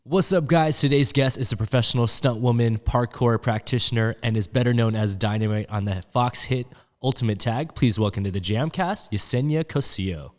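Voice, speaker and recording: A man's voice: mid-range voice, in a large hall. A man hosting a casual podcast interview, chatty and full of filler words. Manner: quick, chatty, lots of "like" fillers. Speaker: podcast host.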